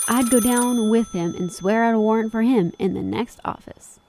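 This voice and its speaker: A spirited, shrewd young wife played by a woman in a radio play. Her pitch is on the high side, and faint noise sits behind her. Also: normal speed, a little mellow, lightly acted, low-quality recording. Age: young adult. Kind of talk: radio drama acting.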